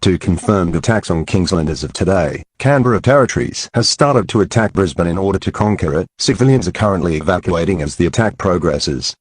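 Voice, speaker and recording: A man reads news and alert announcements in a very low voice with a husky edge. The delivery is formal and stiff, and the sound is close and dry. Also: expressive, fairly quick, few pauses, no echo.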